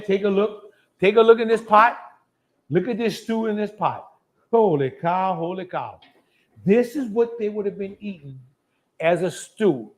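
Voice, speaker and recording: A man hosting a live cooking demonstration in a folksy, chatty, colloquial way. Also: sits high. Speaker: host of a live cooking show.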